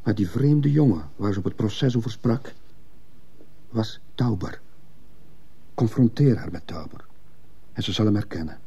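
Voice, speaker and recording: An actor playing a troubled, conscience-stricken schoolteacher in a radio play, hesitant and earnest, with a husky, gravelly voice. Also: male voice, on the low side, expressive, measured pace, pauses often, mellow, highs cut off, heavily acted. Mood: insistent. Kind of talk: Dutch-language radio drama acting.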